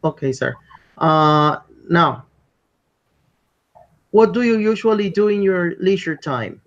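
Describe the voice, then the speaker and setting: Polite high-voiced examiner. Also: male voice, lively intonation, a bit bright. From Colombia. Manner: polite, measured, repeats instructions. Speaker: English exam interviewer. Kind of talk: structured spoken exam interview. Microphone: video call. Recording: dull lo-fi call audio.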